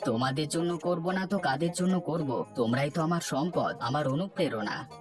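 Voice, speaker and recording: A man playing a hardworking, devoted village father and son in a cartoon, with a gentle, reassuring manner. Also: on the high side, animated, normal speed, natural voice. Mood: caring. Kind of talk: Bangla cartoon voice acting. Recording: music behind the voice.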